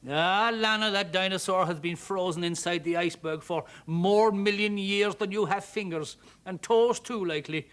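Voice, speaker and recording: A narrator acts out a storybook Irishman of the factory floor, booming and kindly. He talks in an emphatic, folksy lilt, always ready to reassure. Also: normal speed, few pauses, a bit bright, close to an everyday voice. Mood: soothing.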